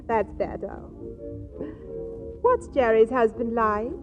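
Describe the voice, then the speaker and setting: A woman's voice: fairly high pitch, lively intonation, slow pace, creaky, exaggerated voice. An actress playing a brazen, man-hungry society woman in a 1940s radio comedy, chatty and coquettish. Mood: playful, teasing. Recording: dull 1940s radio recording, music behind. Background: music.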